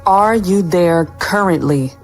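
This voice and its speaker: A woman playing an imperious crime boss in an audio drama, with a cold, deliberate, commanding manner. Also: lowish voice, darkish tone, faint music behind. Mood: brisk commanding. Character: imperious female crime boss, warehouse owner, curt and menacing. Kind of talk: audio drama acting with first-person narration.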